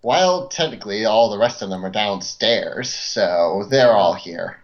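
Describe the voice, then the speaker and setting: Lively male player, muffled audio. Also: fairly low pitch, a little slow. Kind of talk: casual tabletop roleplay.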